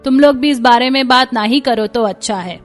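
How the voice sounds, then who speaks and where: A woman's voice: fairly high pitch, a little fast, soft background music, close to an everyday voice. A woman voicing a firm, peacekeeping middle-aged mother-in-law in a cartoon story, reasoning and admonishing. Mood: resigned. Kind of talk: Hindi cartoon story voice acting.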